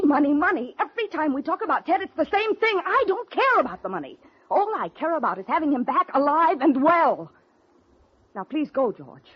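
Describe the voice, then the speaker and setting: An actress playing an anguished young woman in a 1940s radio drama, with emotional, dramatic delivery. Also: high-pitched. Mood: indignant. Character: anguished young woman waiting for her missing foster brother. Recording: dull vintage radio recording.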